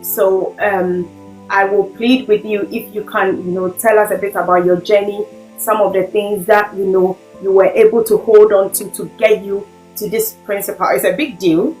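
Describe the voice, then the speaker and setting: A woman hosting an interview, speaking effusively and filling pauses with "you know". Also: medium pitch, fairly even intonation, roomy sound, soft background music, rough recording. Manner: effusive, warm praise, fillers like "you know". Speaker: host. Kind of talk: podcast interview host.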